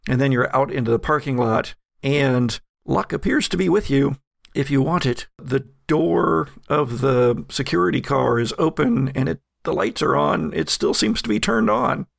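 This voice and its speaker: A man narrating a tabletop game as game master, relaxed and chuckling, with many fillers. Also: mid-range voice, normal speed, creaky, dry recording, clean studio sound.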